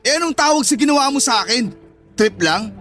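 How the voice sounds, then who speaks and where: A man's voice: very high voice, expressive, fairly quick, fairly bright tone. A man acting in a radio drama as an angry, betrayed young friend, bitter and scornful, with a Filipino accent. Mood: scornful, disbelieving.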